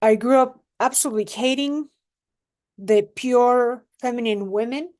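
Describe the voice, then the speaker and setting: A young adult woman, a relationship coach giving advice in an unhurried, reflective way and often checking in with the listener. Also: on the high side, darkish tone. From Mexico. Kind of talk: casual advice monologue to camera.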